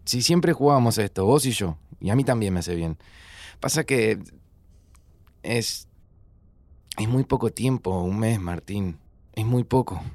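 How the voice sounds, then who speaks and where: A man's voice: fairly low pitch, animated, a little slow, long pauses, darkish tone, dry recording. An actor playing an anguished, sardonic young Argentine student, devoted to his best friend, in a naturalistic audio drama. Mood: worried, sad. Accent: Argentine Rioplatense. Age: young adult. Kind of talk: naturalistic audio fiction acting.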